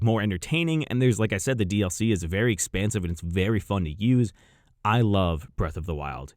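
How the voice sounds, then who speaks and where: Low-voiced American man, enthusiastic gamer chat. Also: darkish tone, dry recording, clean studio sound. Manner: gushing, enthusiastic. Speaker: video game review channel host. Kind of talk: unscripted casual chat to viewers.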